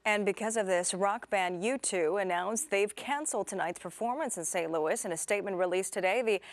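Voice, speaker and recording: A woman's voice: mid-range voice, brisk, no echo. A woman with an American accent reading scripted television news as an anchor. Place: TV studio.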